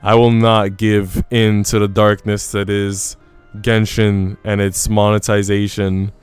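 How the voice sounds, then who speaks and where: Smooth-voiced man streaming a game. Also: fairly low pitch, little pitch movement, slow, soft background music. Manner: informal slangy talk. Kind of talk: casual livestream chat and reading aloud visual novel text.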